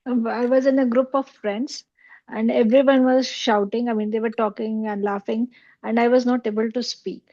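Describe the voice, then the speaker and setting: A soft-voiced woman sharing personally as a class participant, hesitant and correcting herself as she goes. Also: on the high side, fairly even intonation. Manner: hesitant, halting, self-correcting. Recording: dull, dry, lo-fi audio.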